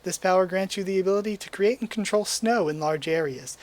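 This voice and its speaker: Slightly high-voiced young man, rambling casually. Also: brisk. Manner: relaxed, rambling, with frequent ums and likes. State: losing his voice. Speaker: YouTuber. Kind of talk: casual quiz commentary to webcam. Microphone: desk mic at a webcam setup.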